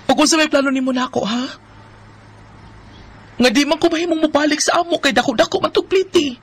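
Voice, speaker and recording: A woman's voice: high voice, brisk, lightly acted. A woman playing a long-suffering young wife in a Bisaya radio drama, with plaintive, emotional delivery. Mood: puzzled, wary. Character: long-suffering young wife, trusting, then tearful and defiant.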